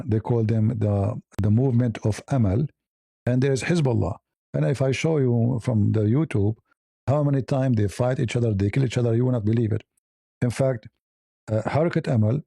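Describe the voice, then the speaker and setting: A man's voice: mid-range voice, creaky, mellow, husky, dry recording. A man hosting a live news commentary stream, blunt and opinionated, rambling with hesitant fillers and speaking English as a second language. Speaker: host.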